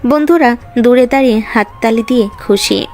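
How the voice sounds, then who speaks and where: High-voiced woman narrating a love story. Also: moderate pace, a little mellow, faint music behind. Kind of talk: scripted romantic story narration.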